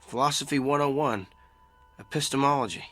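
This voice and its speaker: A man's voice: mid-range voice, a little fast, bright, forceful tone, faint music behind. An actor playing a troubled, wry, self-deprecating businessman in an audio drama. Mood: uneasy, wry.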